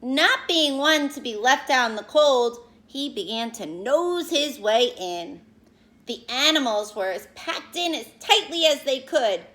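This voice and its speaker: High-voiced, slow-paced woman reading to children. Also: expressive, sharp tone. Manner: explains words and addresses children directly. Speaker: elementary school teacher reading aloud to pupils. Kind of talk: expressive children's read-aloud and lesson talk.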